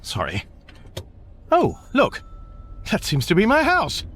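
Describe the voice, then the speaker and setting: A bewildered, courteous English hitchhiker in a radio comedy. His voice leaps about wildly as he hesitates and apologises, on the high side, over clear vehicle noise. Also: wildly swooping pitch, slow pace, a bit bright, heavily acted. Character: polite, bewildered Englishman, a hitchhiker home from space. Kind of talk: radio comedy drama acting. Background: car interior noise.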